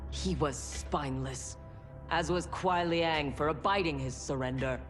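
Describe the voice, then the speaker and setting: Cold ambitious armorer, calm, reverberant hall, faint music. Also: female voice, low-pitched, unhurried.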